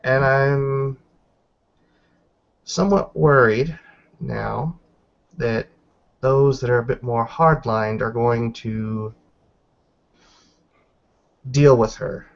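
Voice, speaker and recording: A man voicing a stern, smirking female werewolf in a tabletop game, speaking in a measured, serious way. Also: mid-range voice, creaky, lo-fi sound. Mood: worried, guarded.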